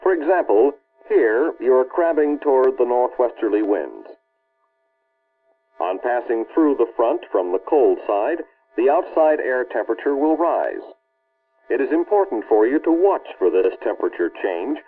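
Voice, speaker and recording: A man's voice: medium pitch, animated, breathy edge. Muffled vintage American training-film narrator, male. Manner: formal, authoritative, measured. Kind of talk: scripted instructional narration, early 1960s military film. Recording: muffled, band-limited old film soundtrack.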